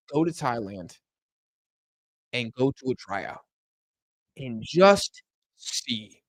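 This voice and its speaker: A man's voice: a little high, no echo, rough recording. Tired young man rambling on a live stream. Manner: chatty, rambling, answers chat questions. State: tired, losing his voice. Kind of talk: casual live stream chat. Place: home room in Argentina.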